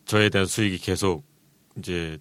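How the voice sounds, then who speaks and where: A man's voice: low voice, steady tone, moderate pace, a bit bright, clean tone, dry recording. A middle-aged man co-hosting a talk show, replying politely and formally in conversation. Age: late 30s or older. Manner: polite, formal, brief agreeing replies. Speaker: co-host, business founder.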